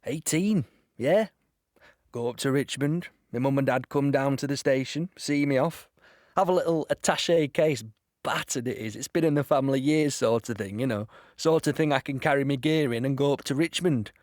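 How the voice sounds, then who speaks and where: A man's voice: mid-range voice, moderate pace, a little mellow, no echo, studio quality, close to an everyday voice. A man playing a cheerful young working-class soldier, telling his story chattily in an audio play. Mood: matter-of-fact. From Nottinghamshire mining village, England. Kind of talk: audio play monologue, conversational reminiscence.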